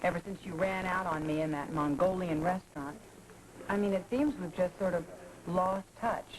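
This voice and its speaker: A woman's voice: fairly low pitch, moderate pace, crowd noise, natural voice, rough recording. An actress playing a poised, flirtatious professional woman in a 1980s daytime soap, talking in a teasing, self-assured way. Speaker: soap opera character.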